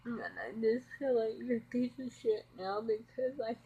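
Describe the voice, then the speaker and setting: A middle-aged woman, upset, rambling through a personal confession at home at night and keeping quiet so as not to wake others. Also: fairly high pitch, animated, moderate pace, breathy edge, phone-quality sound. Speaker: vlogger.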